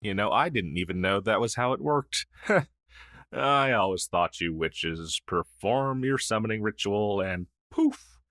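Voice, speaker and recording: A man playing a gentle, wistful old crow familiar in an ASMR roleplay, speaking hesitantly and softly with sighs. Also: lowish voice, expressive, no room sound, studio quality. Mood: curious, friendly. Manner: hesitant, halting, soft-spoken with sighs.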